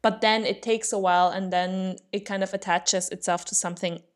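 A young woman with an Austrian accent talks through ideas on a podcast she co-hosts. She explains steadily, thinking aloud with small fillers. Her voice sits at an ordinary mid pitch. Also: steady tone, fairly quick. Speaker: podcast co-host. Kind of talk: casual conversational explanation.

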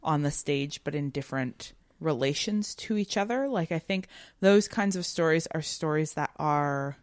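A woman's voice: low voice, animated, moderate pace, no echo, studio quality. A woman co-hosting a podcast, talking through ideas in a casual, analytical, informal way. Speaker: podcast co-host. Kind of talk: casual conversational literary discussion.

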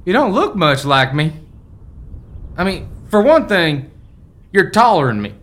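An actor playing a folksy, forgetful old American rancher's ghost in a family radio play, with rustic old-timer phrasing. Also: male voice, high voice, big pitch swings, measured pace, fairly bright tone, full character voice. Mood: curious, amused. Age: older.

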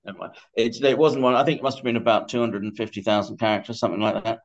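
A man's voice: mid-range voice, steady tone. An older man speaking as an interview guest about a book, conversational and tentative, often correcting himself. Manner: tentative, self-correcting, halting. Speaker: podcast guest. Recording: dull, band-limited audio.

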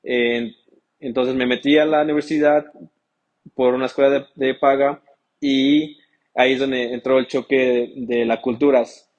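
A man's voice: medium pitch, flat delivery, normal speed, highs cut off. A young man who grew up in the United States with English as his first language, speaking as an interview guest in a hesitant way, with many fillers and restarts. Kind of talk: casual interview talk.

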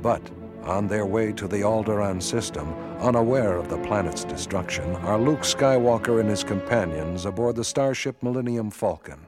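A man giving measured, formal scripted narration as the announcer of a radio drama. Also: lowish voice, lively intonation. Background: orchestral music.

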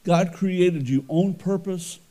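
Loud, earnest preaching man. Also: sits high, slow pace. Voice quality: loud voice that carries. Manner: earnest, conversational preaching with repeated restarts. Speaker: pastor. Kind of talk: sermon.

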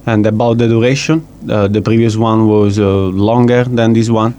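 Guy hosting a little radio chat, lowish voice, lots of uhs, faint hiss behind. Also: fairly even intonation, normal speed, dark tone. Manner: hesitant, filler-heavy non-native English. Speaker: radio show host.

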